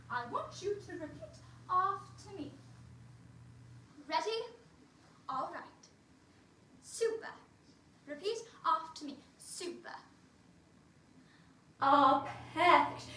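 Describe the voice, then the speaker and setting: A woman's voice: sits high, expressive, takes it slowly, pauses often, pushed and bright, slight background noise, full character voice. A woman playing a cheerful, prim nanny, speaking in an encouraging sing-song way to unseen children. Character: cheerful prim female nanny, young adult. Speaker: costumed character performer for children. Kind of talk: in-character children's activity video. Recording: roomy sound from a distant mic.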